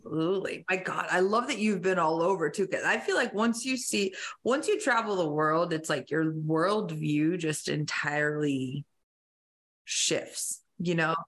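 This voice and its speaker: A woman's voice: low-pitched, a little slow, a bit bright. A woman hosting a podcast, chatting casually and effusively with lots of "like" and "you know.". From moved from Italy to the United States as a young child. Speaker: podcast host. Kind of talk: casual enthusiastic chat.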